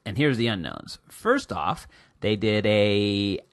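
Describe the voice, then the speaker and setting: A man hosting a casual podcast, his booming voice rising and falling in lively swings. He talks close to the mic in a dry, dead room, chatty and teasing. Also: no room sound. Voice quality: loud booming voice. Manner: chatty and teasing, quick asides. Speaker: podcast host. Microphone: close podcast mic, pops.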